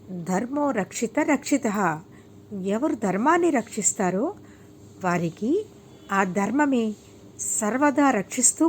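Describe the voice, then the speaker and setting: A woman reads scripted moral stories for children. Her voice sits fairly high yet stays soft-edged and mellow. She takes her time, setting out short, deliberate phrases with frequent pauses. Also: a little hiss or hum. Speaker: storyteller.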